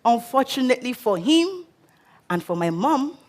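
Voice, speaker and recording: A woman with a Nigerian English accent tells her life story from a stage in an expressive, dramatic way. Her voice sits a little higher than most women's. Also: moderate pace. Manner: expressive, dramatic, acts out quotes. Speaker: speaker. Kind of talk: inspirational storytelling talk. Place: auditorium stage.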